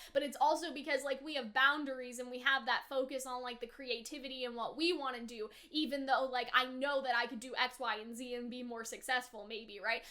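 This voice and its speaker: High-pitched woman, bright forceful tone, chatty YouTuber, animated, slangy, rambling reaction commentary. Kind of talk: casual rambling episode reaction and review.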